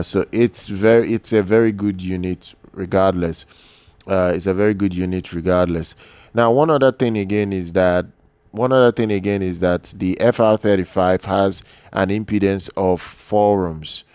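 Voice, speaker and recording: A man giving an unscripted product overview as an audio gear reviewer, speaking haltingly and often repeating words and phrases. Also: low-pitched, darkish tone, smooth, no echo.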